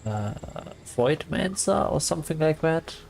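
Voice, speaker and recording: A man streaming live and chatting casually with his viewers, soft and calm, often pausing on fillers. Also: fairly high pitch, gravelly, soft background music. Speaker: livestreamer.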